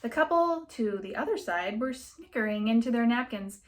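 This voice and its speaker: A woman's voice: on the high side, lively intonation, moderate pace. A woman reading a novel aloud as an audiobook narrator, in scripted story narration.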